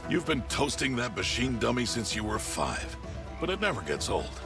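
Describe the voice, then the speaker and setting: An actor playing a gruff, kindly older guardian and playful narrator in a family adventure game, with a wry, hammy delivery. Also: male voice, medium pitch, big pitch swings, normal speed. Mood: wry, fond. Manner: wry, hammy, comically flustered. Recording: music behind.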